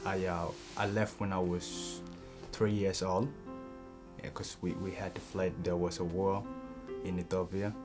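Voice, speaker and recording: A man's voice: low voice, level tone, a little slow, music in the background. A young man with an East African accent giving personal testimony in an interview. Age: young adult. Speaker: refugee student.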